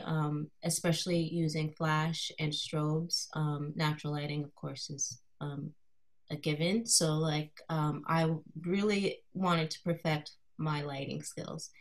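A woman in her thirties from Colorado speaks informally to a class over a video call. Her voice sits a little low, and she talks softly and hesitantly, with plenty of fillers. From Colorado, USA. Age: 30s. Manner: soft-spoken, hesitant, many fillers. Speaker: guest artist speaking to a class. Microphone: video call computer mic.

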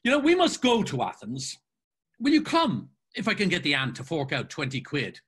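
A man's voice: fairly high pitch, wildly swooping pitch, moderate pace, a bit bright, husky, pitched above the performer's own voice, exaggerated voice. A man playing a boisterous, irreverent young man in a dramatised literary reading, teasing and declaiming theatrically. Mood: playful mockery.

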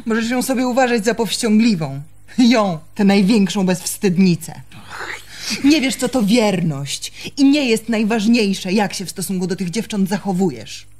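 An actress playing a proud, reproachful young barmaid and jilted fiancée in a radio drama, speaking accusingly and emphatically. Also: female voice, medium pitch, animated, normal speed, darkish tone, a little hiss or hum, natural voice. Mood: indignant.

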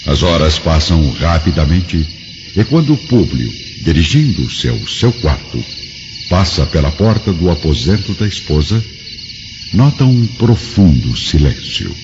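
A man's voice: low voice, very animated, a little slow, noisy background. A man giving dramatic scripted narration as the narrator of an audiobook radio drama. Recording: muffled lo-fi audio under music.

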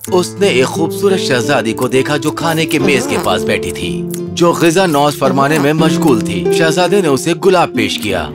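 Animated male fairy tale narrator. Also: medium pitch. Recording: music under the voice.

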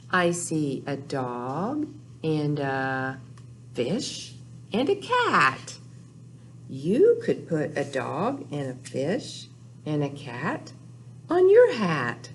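A woman's voice: on the low side, slight background noise. An older woman hosting a children's storytime, speaking in simple, repeated phrases to young listeners in a small room. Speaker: children's librarian and storytime host. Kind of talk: children's storytime with rhymes and sing-along. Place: small indoor room, recorded for video.

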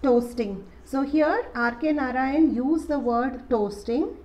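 A woman's voice: sits high, takes it slowly, a little mellow. A woman, teaching a college lesson and explaining an essay step by step with many fillers. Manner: explanatory, repeats points with fillers. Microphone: voice-over on slides.

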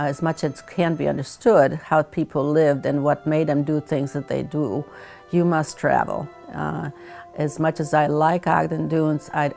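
A woman, a retired teacher and weaver, speaking thoughtfully with many pauses and fillers in a seated interview at home. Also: deep voice, gravelly, darkish tone. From Croatia. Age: 70s. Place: home living room, indoors.

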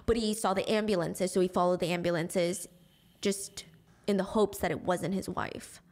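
A young woman narrating a true crime case into a studio mic at home, animated and acting out the quotes. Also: fairly low pitch, normal speed. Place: small room at home, indoors. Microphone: studio mic on boom arm.

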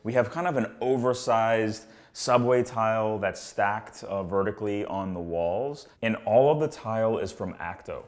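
A man's voice: on the low side, moderate pace, hardly any pauses. A man in his thirties presenting a casual walkthrough of a home as its host, recorded indoors in an apartment. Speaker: house tour host, renovator.

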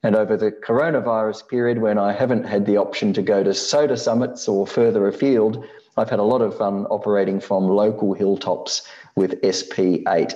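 A man in his sixties with an Australian accent explains things as a hobby presenter. His voice is low and mellow, with a measured delivery. The recording is slightly dull. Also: steady tone. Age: 60s. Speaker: amateur radio presenter. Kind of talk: scripted slide presentation narration.

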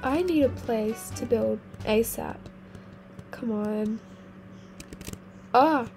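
A teenage girl with a slightly croaky, sick-sounding voice, chatting casually over her gameplay and exclaiming at things she finds. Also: a little high, drawn-out words, darkish tone. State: croaky voice from a cold. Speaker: gaming video host. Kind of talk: casual game commentary. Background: faint game music.

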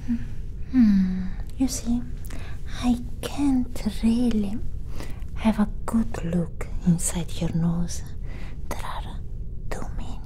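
A young woman whispering softly through an ASMR roleplay of an alien medical inspection, humming and murmuring between lines. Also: medium pitch, extremely slow, long pauses, a little hiss or hum, low-quality recording. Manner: soft unvoiced whisper with hums and murmurs. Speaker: ASMR creator.

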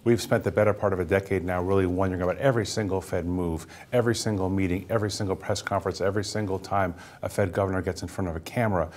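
A man's voice: sits low, fairly even intonation, very fast, hardly any pauses, darkish tone. A middle-aged man commenting fluently and articulately as a market strategist guest in a TV studio. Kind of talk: panel interview commentary. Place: TV news studio. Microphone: lapel mic.

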